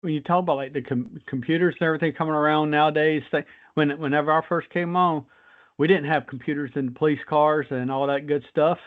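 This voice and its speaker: A man's voice: on the high side, steady tone, fast talker. A man hosting a casual interview in a folksy, rambling conversational way over a tinny phone-like line. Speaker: guest host, former patrol officer.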